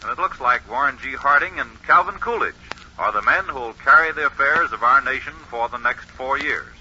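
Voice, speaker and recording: An actor playing an eager 1920s radio announcer in a radio drama, relaying bulletins in a formal, emphatic way. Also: male voice, mid-range voice, a little fast, pushed and bright. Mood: urgent, excited. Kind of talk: 1940s radio drama acting, news bulletin delivery. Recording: muffled, noisy vintage 1940s radio recording.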